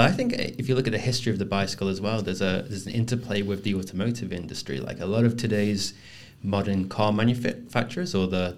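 A man in his thirties from the north of England, speaking as an earnest podcast guest who thinks aloud. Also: on the low side. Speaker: podcast guest, communications manager. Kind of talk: casual podcast interview.

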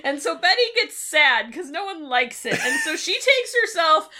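Female podcast co-host, casual and sweary, sharp bright tone with very animated, incredulous delivery. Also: sits high, normal speed. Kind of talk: casual chat recapping an old cartoon.

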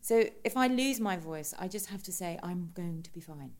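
A middle-aged woman, a stage actress, chatting in an interview in a rich, deliberately lowered voice, a little tired, with many restarts and quoted asides. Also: animated, slight background noise. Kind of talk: interview chat.

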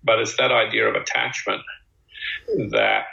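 A man co-hosting a talk show, musing slowly in a reflective, conversational way. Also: on the low side, vocal fry, bright, forceful tone. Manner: slow, musing, with drawn-out phrases. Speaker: podcast co-host. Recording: dull lo-fi sound.

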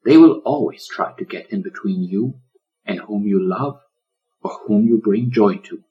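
Man voicing a slow, solemn old storybook lion. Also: on the low side, mellow, lo-fi sound, close to an everyday voice. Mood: tender, protective. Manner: slow, solemn, preaching delivery. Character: old male lion, a gentle, solemn, loving shepherd.

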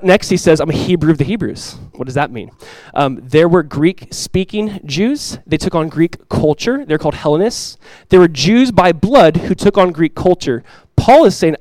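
A man with a fairly high voice preaches in an earnest, conversational way. He keeps asking his listeners rhetorical questions. The sound is dry and close to the mic. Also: a little mellow, dry recording. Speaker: pastor. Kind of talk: expository sermon.

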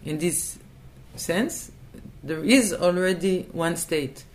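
A woman's voice: fairly low pitch, takes it slowly, darkish tone. A woman from Israel, answering in a thoughtful interview and hesitating and restarting as she looks for words. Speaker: interview guest.

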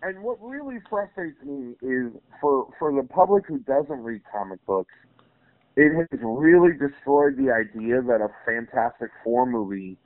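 A man's voice: mid-range voice, animated, measured pace, dry recording. A man being interviewed by phone, casually ranting in an opinionated, emphatic, nerdy way. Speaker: interview guest. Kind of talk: casual comic rant about films. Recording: dull phone interview audio.

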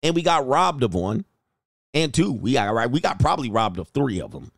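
A man's voice: medium pitch, big pitch swings, a little fast, creaky, dry recording, studio quality. A man hosting a casual livestream as a dating coach, reading viewer comments aloud in a joking, rambling way and laughing often. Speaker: dating coach and show host.

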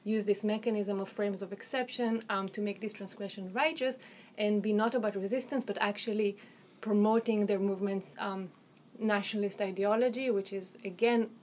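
A woman with an Israeli accent, an author answering interview questions about her research in an articulate, thoughtful way, often pausing with "um" and restarting phrases. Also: mid-range voice, fairly even intonation, a little mellow, slight background noise. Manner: articulate, hedging with um and you know, frequent restarts.

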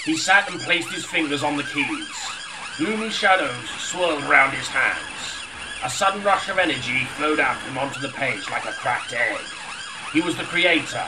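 A man's voice: a little high, expressive, moderate pace, distant mic, background noise, natural voice. A man playing a histrionic, easily flustered actor in an audio comedy, with a theatrical, grandiose delivery. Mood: grandiose, brooding. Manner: theatrical, grandiose, prone to exasperated outbursts. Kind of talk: audio comedy sketch, dramatic narration.

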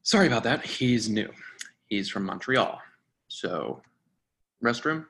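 A man's voice: fairly low pitch, animated, takes it slowly, vocal fry, pushed and bright, heavily acted. An actor playing a fussy, officious male restaurant host with formal manners in a readers theatre drama. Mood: apologetic. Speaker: actor in a staged reading.

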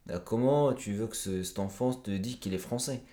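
Deep-voiced man, earnest podcast guest. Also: normal speed, warm tone, roomy sound. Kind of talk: conversational interview.

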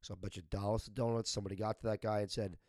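Quick, low-voiced dismissive co-host. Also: male voice, level tone, darkish tone, no echo, studio quality. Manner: contrarian, dismissive, keeps calling things ridiculous. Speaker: podcast co-host. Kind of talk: casual banter.

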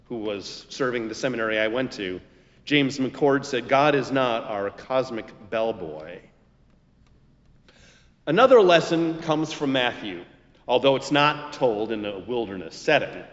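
Slow, expressive male preacher in reverberant hall. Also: medium pitch. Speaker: pastor preaching a sermon. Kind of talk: scripted expository sermon with quotations. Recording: muffled recording in a reverberant hall.

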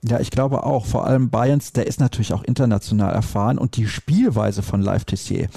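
Gravelly, mellow-voiced male sports talk host. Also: mid-range voice, a little fast, no room sound, studio quality. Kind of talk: conversational sports discussion.

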